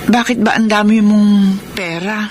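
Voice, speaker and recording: An actress playing a gentle, worried middle-aged mother in a Filipino radio drama, speaking in a halting, hesitant way. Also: medium pitch, steady tone, close to an everyday voice. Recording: noisy radio drama audio.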